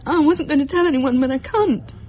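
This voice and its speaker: An actress playing a fragile but determined young mother in distress, speaking haltingly and emotionally in a radio drama. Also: high voice, darkish tone, close to an everyday voice. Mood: distressed confessing. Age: young adult. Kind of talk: naturalistic radio drama acting.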